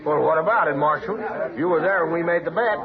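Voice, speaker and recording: A man's voice: fairly high pitch, quick pace, close to an everyday voice. A man playing a sneering, goading, hard-nosed gambler in an old Western radio drama. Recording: muffled old radio recording, crowd behind.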